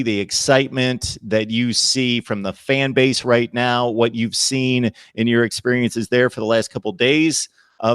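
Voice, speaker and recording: A man hosting a college football talk show, asking long, halting questions. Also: mid-range voice, smooth, no echo. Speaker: show host.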